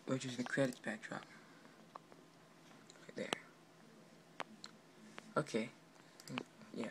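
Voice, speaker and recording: A man giving a casual, halting, offhand walkthrough of his project, recorded indoors on a handheld camera. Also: mid-range voice, a bit bright. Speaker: amateur game developer. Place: indoors at a computer. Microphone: handheld camera pointed at monitor. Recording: noisy amateur room recording.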